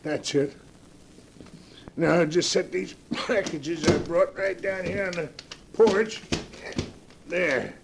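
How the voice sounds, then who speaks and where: High-voiced, slow rustic delivery man. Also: creaky. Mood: uneasy, obliging. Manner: rustic, ungrammatical, hesitant speech. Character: folksy village delivery man, obliging but uneasy. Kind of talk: 1940s radio drama acting. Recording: faintly noisy old 1940s radio recording.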